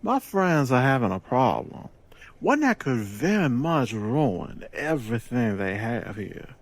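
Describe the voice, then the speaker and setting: An actor playing a smooth gangster boss in an audio drama, with a deliberate, self-assured, persuasive delivery and sly wit. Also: male voice, mid-range voice, big pitch swings, no echo. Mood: confiding, persuasive.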